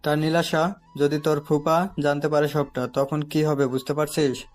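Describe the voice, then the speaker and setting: A man acts as a cautious middle-aged father in a romantic audio drama. He is helpful, speaking in a flat, even pitch over faint music. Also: normal speed, close to an everyday voice.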